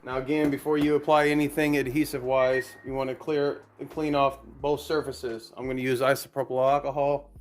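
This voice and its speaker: A man's voice: medium pitch, level tone, a little fast, hardly any pauses, a little hiss or hum. A man giving a casual hands-on tutorial, rambling a little as he thinks aloud while working. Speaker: DIY car audio vlogger.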